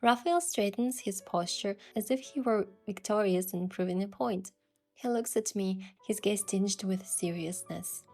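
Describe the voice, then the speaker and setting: A woman's voice: medium pitch, a little slow, darkish tone. A woman reading scripted first-person romance narration and voicing the heroine. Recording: music behind the voice.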